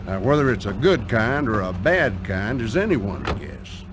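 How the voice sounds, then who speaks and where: An actor playing a folksy, wry storyteller with a drawling Southern American accent, narrating a scripted tale. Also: male voice, on the low side, sing-song, unhurried, heavy background noise.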